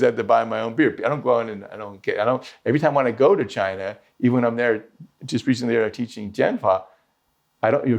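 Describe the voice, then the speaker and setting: A man teaching swordsmanship, answering questions in a rambling, self-interrupting and lively way. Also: mid-range voice, vocal fry. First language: English. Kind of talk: conversational Q&A answers.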